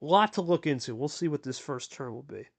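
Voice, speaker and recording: A man's voice: animated, brisk, creaky. A man doing casual play-by-play commentary on a card game, chatty and hyped, talking with his co-host. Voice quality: deep radio voice.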